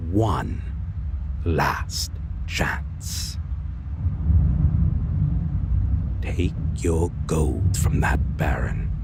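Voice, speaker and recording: A man playing a brooding, merciful yet menacing vampire lord in a dark fantasy audio roleplay, speaking formally and deliberately in half-whispered phrases. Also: a bass voice, creaky, noisy background, full character voice. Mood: menacing, restrained.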